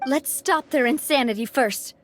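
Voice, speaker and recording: A woman's voice: high-pitched, normal speed, soft background music, natural voice. An actress playing an earnest, protective young female gang boss in a video game, decisive and dramatic in delivery. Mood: resolute.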